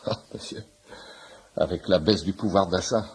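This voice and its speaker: A man's voice: low-pitched, normal speed. A man playing a talkative, nosy shopkeeper who eagerly explains homeopathy in a pedantic way, in a French radio play. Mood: chatty, insistent. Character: chatty, nosy shirt-shop owner, amateur homeopathy enthusiast in waiting room. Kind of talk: naturalistic French radio comedy-drama acting.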